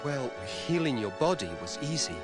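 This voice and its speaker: Man voicing a young game wizard, earnest, polite, measured, reverberant, soft music behind. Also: mid-range voice, sing-song, close to an everyday voice. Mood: calm, kind. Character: young weaver boy, gentle, earnest wizard. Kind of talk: 1990s adventure game voice acting. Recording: long reverb on voice.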